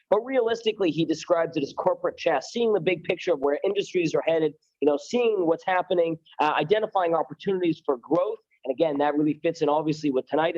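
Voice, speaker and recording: A man introduces the next speaker at a fast clip, his voice a little high and warm-toned. He reads from prepared notes with a few fillers. The sound is dry and dull, as if from an event stream. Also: no room sound. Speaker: event organizer and moderator.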